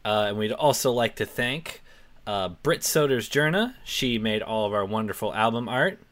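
A young man co-hosts a casual podcast. His voice is bright and forceful, and his pitch moves a lot. He jokes about himself and laughs easily. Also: moderate pace. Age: 20s. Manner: lively, self-deprecating, laughs often. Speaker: podcast co-host.

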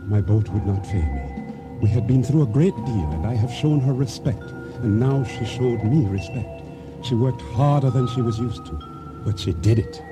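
An actor playing a wary boat owner who tells his tale gravely and deliberately in a radio drama. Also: medium pitch, lively intonation, close to an everyday voice. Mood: weary, watchful. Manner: deliberate, solemn, measured storytelling. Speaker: narrator and lead actor. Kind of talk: radio drama acting, first-person storytelling. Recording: radio drama with faint music underneath.

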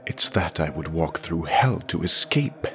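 A man's voice: low-pitched, expressive, unhurried, bright, forceful tone, slight background noise, exaggerated voice. A man voicing a vengeful, determined warrior woman with a firm, defiant, intense manner. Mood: fierce defiance.

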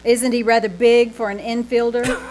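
Woman playing a high-voiced, affected theatrical starlet. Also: flat delivery, moderate pace, darkish tone, lightly acted. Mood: grand, affected. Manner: affected, dramatic, teasing delivery. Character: flamboyant, theatrical young Hollywood starlet, affecting daffy grand airs. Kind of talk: old-time radio comedy acting before a live audience. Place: small-town theater stage. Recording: noisy live theater stage recording.

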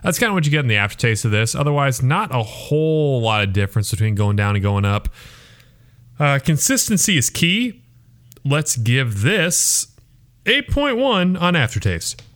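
A man's voice: medium pitch, very animated, normal speed, fairly bright tone. A man reviewing drinks in casual chat, talking in a wordy, analytical and descriptive way. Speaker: beer reviewer and show regular.

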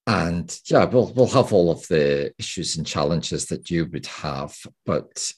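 A man's voice: low-pitched, lively intonation, a little slow, a little mellow, breathy edge. A man from the British Isles hosting an interview, talking hesitantly with repeated words and many "yeah"s and "you know"s. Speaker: podcast host. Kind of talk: casual interview chat.

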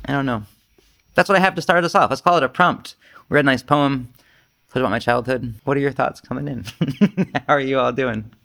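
Guy leading a zen retreat talk, middle pitch, chatty and rambly, kinda self-deprecating and animated. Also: brisk, vocal fry, pauses often. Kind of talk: informal dharma talk, conversational and rambling.

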